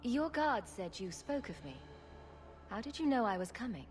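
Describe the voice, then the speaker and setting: An actress playing a wry, self-assured young adventurer heroine in a video game. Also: female voice, a little high, big pitch swings, moderate pace. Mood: questioning. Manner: wry, questioning.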